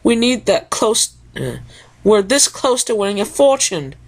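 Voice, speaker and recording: Prim fancy pony lady, all indignant and lecture-y, high voice, reader doing it. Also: big pitch swings, measured pace. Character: prim elegant female pony, dramatic.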